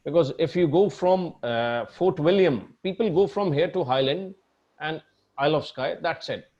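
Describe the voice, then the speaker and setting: An Indian man with an Indian English accent hosts a casual chat and is effusive, quick to laugh and full of thanks. His voice sits a little high. The audio is muffled and lo-fi. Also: darkish tone. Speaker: host. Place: Scotland.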